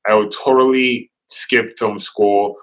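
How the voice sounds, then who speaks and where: A man who learned English as a second language, talking in an interview as a guest videographer, energetic and quick with restarts. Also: lowish voice. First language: not English. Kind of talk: casual interview chat.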